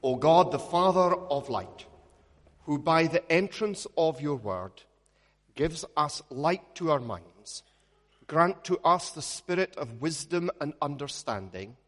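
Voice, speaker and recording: A man's voice: fairly high pitch, animated. Scottish man preaching in a reverberant church. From Scotland. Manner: measured, storytelling with gentle humor. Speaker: minister. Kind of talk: sermon with opening prayer.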